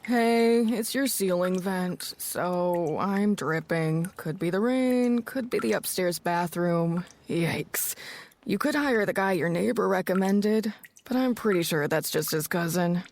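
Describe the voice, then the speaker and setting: A woman's voice: on the low side, slow, darkish tone. A woman playing a wry, teasing talking ceiling vent in a comic radio commercial, in a conversational way. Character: leaky talking ceiling vent, wry and nagging homeowner's helper.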